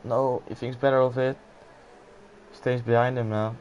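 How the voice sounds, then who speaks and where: A man's voice: mid-range voice, little pitch movement, moderate pace, dull audio, a little hiss or hum. A man with a Dutch accent commentating a live sim racing event, exclaiming and laughing at close moves. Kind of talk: live esports race commentary.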